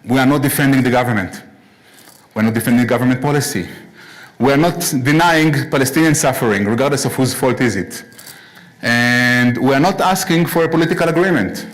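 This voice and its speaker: A man's voice: medium pitch, a little fast. Israeli-accented man giving a lecture. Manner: dry deadpan humor, earnest. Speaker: guest lecturer. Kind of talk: prepared presentation to a class. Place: synagogue chapel.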